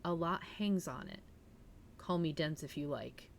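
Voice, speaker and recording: A woman's voice: deep voice, measured pace, vocal fry, slight background noise. A woman hosting a livestream, reading aloud in a storytelling style and chatting informally with her viewers. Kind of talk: storytelling read-aloud and casual stream chat.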